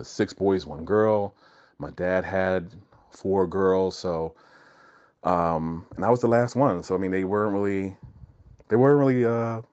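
A young man telling a childhood story in a relaxed chat, hesitant and full of ums and restarts. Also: low-pitched. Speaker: guest. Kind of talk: casual personal storytelling. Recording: dull lo-fi recording.

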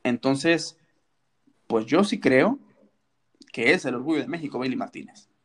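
A man's voice: medium pitch, expressive, measured pace, a bit bright. A man co-hosting a casual wrestling chat, playful and teasing, fond of rhetorical questions. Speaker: wrestling podcast co-host.

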